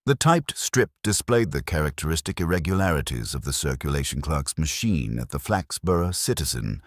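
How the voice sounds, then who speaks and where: A man with a very deep voice narrates a detective mystery. His delivery is formal and measured, yet the pitch moves freely from phrase to phrase. The sound is dry and close, with very little room around it. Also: no room sound.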